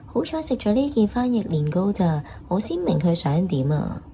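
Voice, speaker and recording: A woman's voice: mid-range voice, moderate pace, crowd noise. A woman playing an exasperated, complaining office worker in a comedic radio drama. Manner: animated, complaining. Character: exasperated office worker grumbling about the boss.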